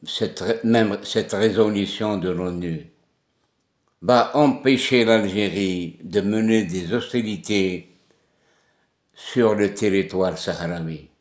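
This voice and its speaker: Man, slow and insistent political commentator, echoey room. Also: on the low side, a little mellow. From Kabylie, Algeria. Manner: emphatic, insistent, repeats words for stress. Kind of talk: impassioned political monologue.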